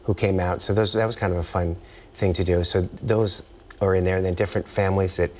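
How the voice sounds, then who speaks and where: A man's voice: deep voice, fairly even intonation, fast, a little mellow, slight background noise. An American man, an author being interviewed, chatting casually and rambling, often trailing off mid-thought.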